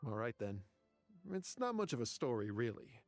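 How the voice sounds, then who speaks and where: An actor playing a melancholy, self-reproaching scholar in a video game, subdued, wistful and reflective. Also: male voice, mid-range voice, very animated, moderate pace, a little mellow, dry recording, soft background music, natural voice. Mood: wistful, sorrowful. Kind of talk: dramatic game voice acting.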